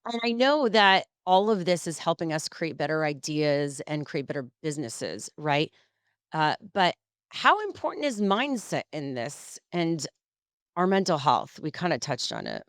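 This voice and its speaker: A woman's voice: low voice, sing-song, a little slow, no echo, clean studio sound. A woman hosting a casual interview, chatty and tripping over the starts of her sentences. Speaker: interview host.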